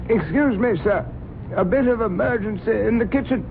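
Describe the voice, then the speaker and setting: A meek, gravelly old butler. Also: male voice, high-pitched, moderate pace, background noise. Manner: polite, meek, clipped "sir". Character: timid, deferential older male butler. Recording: narrow lo-fi old radio recording.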